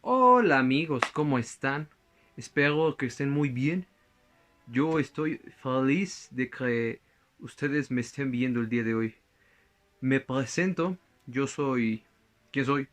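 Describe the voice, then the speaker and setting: A man's voice: mid-range voice, expressive, slow pace, fairly bright tone. A young man playing a French philosopher in a school presentation, chatty and joking, often correcting himself mid-sentence. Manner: rambling, self-correcting, joking asides. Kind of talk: costumed school presentation as a historical figure.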